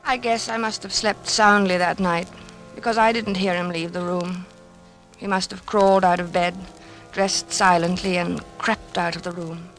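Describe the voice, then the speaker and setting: A woman in 1940s radio acting, playing an anxious, troubled wife narrating her ordeal in a measured, suspenseful way. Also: fairly low pitch, steady tone, natural voice, low-quality recording. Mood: grave, narrating. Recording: old radio recording, music behind.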